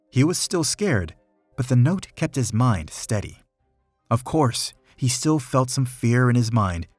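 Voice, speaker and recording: A man reads a children's story in rhyme, his pitch moving up and down in a lively way. His voice has a slightly husky edge and keeps a measured storybook rhythm. Soft music plays faintly behind him. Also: no room sound. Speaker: storybook reader. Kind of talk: expressive read-aloud of a rhyming children's story.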